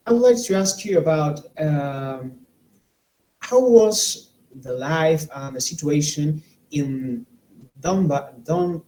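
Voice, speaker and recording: Mellow-voiced man hosting an interview. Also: a little high, rough recording. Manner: slow, searching phrasing with fillers. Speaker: interview host. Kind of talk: conducting an interview.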